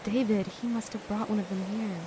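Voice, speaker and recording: A woman playing a frightened young hostage in an audio drama, speaking in an anxious, halting, pleading way. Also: mid-range voice, fairly even intonation, noisy background, natural voice. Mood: panicked.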